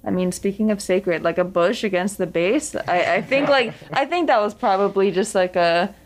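A woman's voice: mid-range voice, normal speed. A woman in casual chat talking hesitantly with many fillers, laughing easily.

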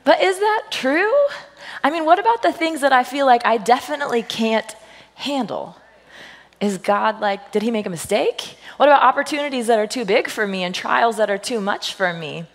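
A woman in her thirties, a senior pastor with a husky voice, giving a playful, joke-filled sermon to her congregation. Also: a little high, normal speed. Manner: playful storytelling, self-deprecating jokes, lively quoted voices. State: husky voice today. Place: church sanctuary. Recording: long reverb of a large hall.

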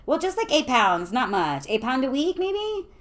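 Woman as an earnest, high-pitched prim teenage girl. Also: big pitch swings, moderate pace, a bit bright. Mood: thoughtful. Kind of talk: audiobook character dialogue.

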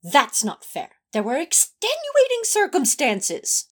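A woman's voice: sits high, huge pitch swings, takes it slowly, fairly bright tone, exaggerated voice. A woman playing an earnest, easily flustered male angel in an audio fan fiction drama, prim and protesting. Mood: indignant.

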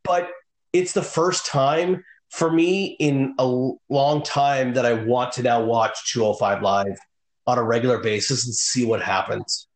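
Man, podcast co-host, rambling casual talk, echoey room, mid pitch. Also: steady tone, measured pace. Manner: rambling, many fillers and restarts. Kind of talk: casual chat.

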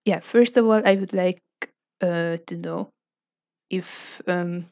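A woman's voice: fairly low pitch, fairly even intonation, slow, warm tone, dry recording, lo-fi sound. A woman from Hungary hosting a casual online group discussion, speaking hesitantly with many filler sounds. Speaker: discussion host.

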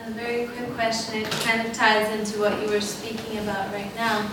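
A woman's voice: a little high, completely flat delivery, moderate pace. A woman in the audience asking a question at a lecture, hesitant and restarting often. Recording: distant voice in a very echoey hall with noise.

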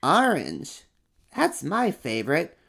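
A man voicing a sweet, agreeable little monster child in a storybook reading, speaking gently and slowly. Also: fairly high pitch, wildly swooping pitch, gravelly, voice pushed up, heavily acted. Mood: cheerful.

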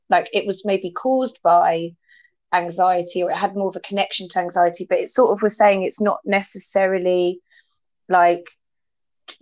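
A woman in casual conversation, talking quickly and getting her words mixed up, with lots of fillers. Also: medium pitch, a little mellow. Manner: chatty, quick and mixes up words, many fillers. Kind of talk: casual chat.

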